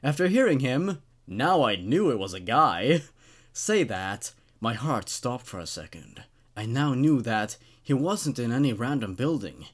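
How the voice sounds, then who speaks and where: Man in his twenties, horror story host, very lively intonation, medium pitch, dramatic reading, wry casual asides, close studio mic in a small room. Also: measured pace. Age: 20s. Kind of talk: expressive story narration with casual commentary. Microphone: studio condenser microphone on a stand.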